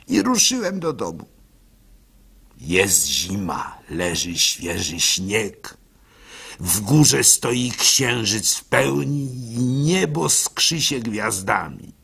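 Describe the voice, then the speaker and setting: A trusting, simple village baker tells his story in a radio play. His voice is husky and bright, swinging widely in pitch as he confides and questions himself. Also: full character voice. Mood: hushed anticipation. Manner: expressive storytelling, halting and self-questioning. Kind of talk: dramatic first-person radio monologue.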